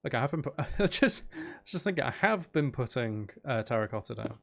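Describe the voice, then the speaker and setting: A man's voice: medium pitch, sing-song, normal speed, creaky. A man giving casual livestream commentary on a game, thinking aloud to his viewers in a musing way. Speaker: game streamer.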